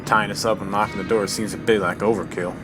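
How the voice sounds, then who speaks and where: A man voicing a calm, practical male investigator in an old-time style radio mystery drama. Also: on the low side, fast, over music, close to an everyday voice. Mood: tense. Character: steady male investigator on a train. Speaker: voice actor. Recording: noisy radio drama recording.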